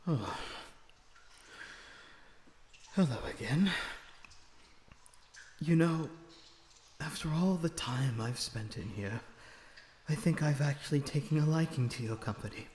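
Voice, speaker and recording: A man playing a lonesome captive vampire in an audio roleplay, weary, bitter and sardonic, with measured dramatic phrasing. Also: a little high, lively intonation, a little mellow. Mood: sardonic teasing. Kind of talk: ASMR audio roleplay acting. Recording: echoey reverberant room with background noise.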